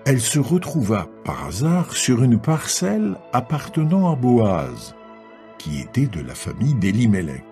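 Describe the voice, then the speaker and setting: A man narrates scripture with a lively rise and fall, taking his time. The voice is warm and dark, over faint music. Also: mid-range voice, a little slow.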